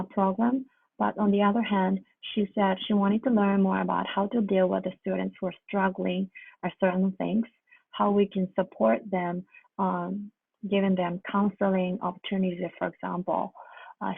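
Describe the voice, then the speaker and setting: Husky woman, phone-like sound. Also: medium pitch. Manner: halting, hesitant delivery with repeated phrases. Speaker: graduate student presenter. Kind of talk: academic presentation. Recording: muffled phone-like line, roomy echo.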